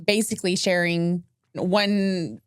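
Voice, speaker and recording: A woman's voice: on the low side, fairly even intonation, slow, clear, resonant tone, dry recording, studio quality. A woman in her forties chatting casually and candidly as a podcast guest, informal and a little self-deprecating. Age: 40s. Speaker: podcast guest, company accountant. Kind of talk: casual chat.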